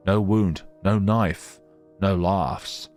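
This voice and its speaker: A man reading a horror visual novel aloud in a theatrical, halting way, with casual asides. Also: low-pitched, fairly even intonation, darkish tone, soft background music.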